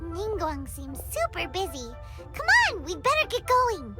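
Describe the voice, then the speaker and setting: A woman voicing a tiny, excitable, greedy childlike fairy companion in an anime game, with a squeaky voice. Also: unhurried, heavily acted. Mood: curious. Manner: refers to self in third person, exclaiming. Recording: loud music behind.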